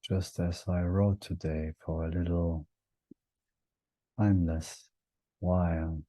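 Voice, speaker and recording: A man leading a guided meditation in a gentle, hushed manner, leaving long pauses between short phrases. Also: deep voice, little pitch movement, dry recording.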